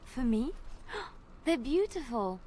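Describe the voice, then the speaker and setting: A man voicing a gentle young woman in a game, speaking in a breathy, touched falsetto. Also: big pitch swings, takes it slowly, slight background noise, full character voice.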